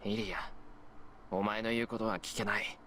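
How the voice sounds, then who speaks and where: A man's voice: lowish voice, steady tone, fast, sharp tone, slight background noise. A man voicing an earnest, stubborn teenage boy hero in a visual novel, pleading and scolding, with game music behind.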